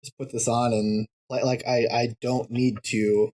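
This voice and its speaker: A man talking as a podcast guest in casual chat, halting and restarting, laughing through his points. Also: mid-range voice, level tone, a little mellow.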